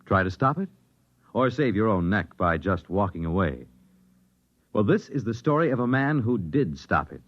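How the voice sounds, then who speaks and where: Dramatic American radio announcer, lively pitch swings. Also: male voice, moderate pace. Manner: dramatic, formal delivery. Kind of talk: 1950s network radio announcing, scripted. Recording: dull vintage radio broadcast, faint music.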